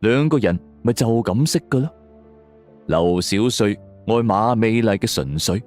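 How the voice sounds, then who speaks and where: A man's voice: lowish voice, a little mellow. A man narrates a scripted crime and ghost story in a suspenseful, theatrical way. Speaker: audio drama narrator. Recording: music bed under the voice. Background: background music.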